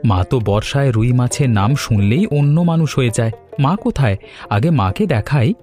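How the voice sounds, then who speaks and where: Man playing a lively-toned, devoted Bengali son. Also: mid-range voice, normal speed, faint music behind, close to an everyday voice. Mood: pleased. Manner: affectionate, expressive. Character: Bengali family man, devoted son, contented food lover. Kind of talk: animated moral story voice acting.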